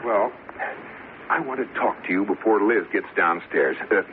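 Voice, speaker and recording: An actor playing an earnest, anxious husband in a 1940s radio comedy. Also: male voice, fairly low pitch, normal speed, lightly acted. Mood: worried. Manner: animated, earnest. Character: earnest, anxious young-ish husband, conspiring to help. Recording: tinny, hissy old radio recording.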